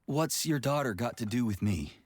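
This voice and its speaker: A man playing a hot-tempered, sardonic military major with a gruff, irritable manner in a video game cutscene. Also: mid-range voice, expressive, moderate pace, no room sound, natural voice. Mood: confused. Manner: gruff, irritable, swearing, sarcastic.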